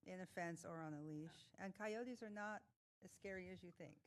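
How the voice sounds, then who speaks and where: A woman's voice: lowish voice, normal speed. A woman on a planning board speaking in a direct, matter-of-fact way during a meeting discussion. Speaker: board member.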